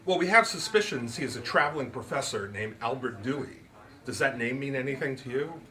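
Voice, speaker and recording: A man's voice: mid-range voice, animated, a little fast, pushed and bright. A man voicing a composed young female detective in a comic mystery story, asking polite, probing, formal questions.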